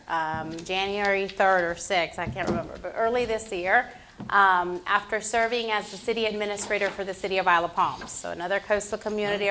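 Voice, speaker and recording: A woman's voice: medium pitch, bright, forceful tone, a little hiss or hum. A middle-aged woman from Puerto Rico speaking informally as a meeting facilitator, in a conversational, encouraging manner. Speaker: meeting facilitator, municipal association field service manager. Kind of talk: informal introductory remarks to a council meeting.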